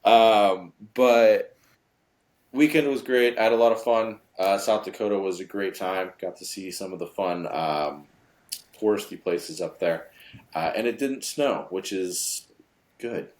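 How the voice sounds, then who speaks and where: A man's voice: on the low side, unhurried, darkish tone. A man hosting a sports podcast in an upbeat, easygoing way with many fillers. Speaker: podcast host. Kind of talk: casual sports chat.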